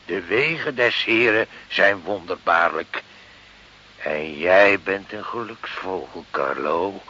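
A man's voice: low-pitched, expressive, slow, bright, forceful tone, breathy edge, heavily acted. An actor playing a fatherly, pious village priest in a radio drama, with a solemn, unctuous way of speaking. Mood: pious, wistful. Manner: unctuous, solemn, hesitant when surprised. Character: pious Italian village priest, fatherly, grateful, gently scheming. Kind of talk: Dutch radio drama acting. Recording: old hissy, muffled radio recording.

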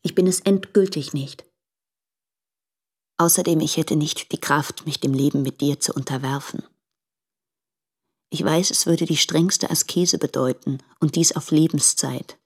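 A frank, anguished married woman in a literary radio drama. Her voice is low and husky. She speaks earnestly and deliberately, as if confiding in the man she loves. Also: full character voice. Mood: resigned, anguished.

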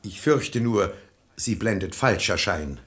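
An actor playing a reasonable, ironic middle-aged brother-in-law in a German verse radio drama, eloquent and argumentative. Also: male voice, lowish voice, lively intonation, slow, fairly bright tone. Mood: earnest persuasion. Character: sensible, ironic brother-in-law reasoning against false piety.

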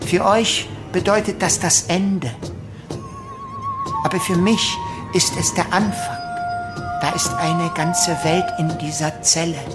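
A woman acting a bitter, defiant prisoner in a radio play, speaking in a measured, accusing, solemn way with pronunciation. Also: on the low side, wildly swooping pitch, heavily acted. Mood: defiant, calm. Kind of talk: dramatic radio play monologue. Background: music.

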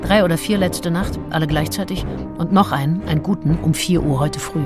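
A woman's voice: on the low side, fairly quick, loud music behind the voice, lightly acted. An actress playing a self-assured, seductive nineteenth-century woman diarist, frank and intimate, in a radio play. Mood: satisfied. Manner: frank, matter-of-fact, intimate. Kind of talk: radio play, first-person diary reading.

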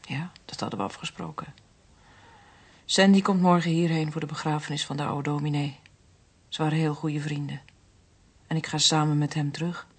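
An actress playing a stunned, grieving daughter in a radio drama, with a breathy voice. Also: female voice, low-pitched, steady tone, normal speed, pauses often. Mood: numb grief.

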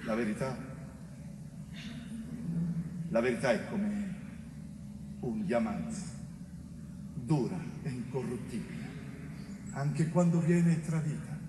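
An actor playing a grave, dogged police investigator in a theatre monologue, speaking in measured, emphatic clipped phrases with weighty pauses. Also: male voice, medium pitch, close to an everyday voice. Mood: grave, bitter. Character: grave, dogged male police investigator recounting a betrayed inquiry. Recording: echoey hall, distant mic, faint noise.

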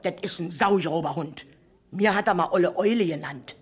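An actress playing an agitated, gossipy, resentful eyewitness in a German radio crime drama, chatty and insistent. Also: female voice, lowish voice, normal speed, very noisy, close to an everyday voice. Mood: indignant, gossipy.

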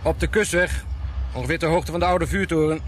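Man playing a high-voiced, wry small-town policeman. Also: brisk, lightly acted. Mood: brisk, wry. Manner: casual, dryly joking. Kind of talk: radio drama acting. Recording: clear background noise, outdoor vehicle ambience.

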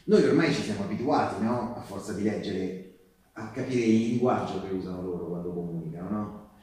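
Echoey far-off stage sound, man acting earnest male Resistance partisan, dark relaxed tone, conversational monologue, halting, repetitive, confiding. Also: on the low side, close to an everyday voice. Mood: conspiratorial. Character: earnest male Resistance partisan postal worker recounting wartime sabotage. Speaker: stage actor. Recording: echoey live theatre, distant microphone.

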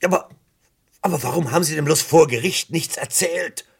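A man's voice: a little high, normal speed, gravelly, slightly rough, heavily acted. An actor in a 1970s radio crime play voicing an incredulous, probing police inspector who echoes the answers he gets. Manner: questioning, echoes answers, swings from muttering to exclaiming.

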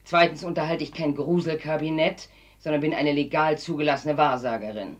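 An actress playing a sharp, businesslike fortune-teller and landlady in a radio drama, speaking in a firm, threatening way. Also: deep voice, fairly even intonation, a little fast, dull audio, lightly acted. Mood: stern.

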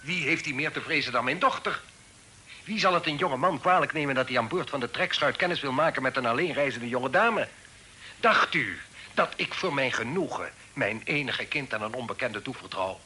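Proud middle-aged fugitive father, period radio drama: forceful, formal, commanding delivery, faint tape hiss. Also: medium pitch, moderate pace. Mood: indignant. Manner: formal, insistent, eloquent old-fashioned speech. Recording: hissy old radio play recording.

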